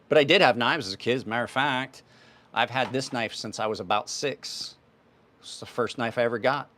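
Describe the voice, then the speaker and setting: A man's voice: mid-range voice, a bit bright. Rambling middle-aged man, live chat host. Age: 50s. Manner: rambling, answers chat questions offhand. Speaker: knife channel host. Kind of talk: casual livestream chat.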